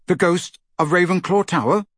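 A man's voice: high voice, normal speed, a bit bright, breathy edge, no room sound, studio quality, pitched above the performer's own voice. A man voicing a determined, hot-headed teenage boy in an audiobook, with an insistent, fierce manner. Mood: eager questioning.

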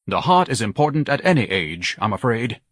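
A man's voice: medium pitch, sing-song, fairly quick, husky, no echo, clean studio sound. A man voicing a pompous, hypochondriac, very large grown son, grandiose and complaining, in an audiobook. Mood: whining. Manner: grandiose, over-formal, complaining. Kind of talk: audiobook character voice.

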